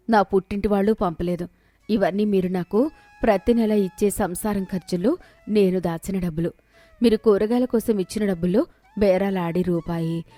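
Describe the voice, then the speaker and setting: A woman as a calm wise wife, close and dry. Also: medium pitch, no room sound, lightly acted. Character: wise village wife, calm, thrifty, forgiving.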